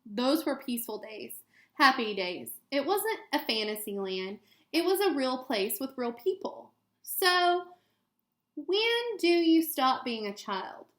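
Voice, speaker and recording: A woman with a fairly high voice reads a children's book aloud like a schoolteacher. Her delivery is expressive and aimed at young listeners, and the room adds a clear echo around her words. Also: takes it slowly. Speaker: elementary school teacher. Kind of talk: reading a children's picture book aloud with asides to students. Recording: echoey room sound.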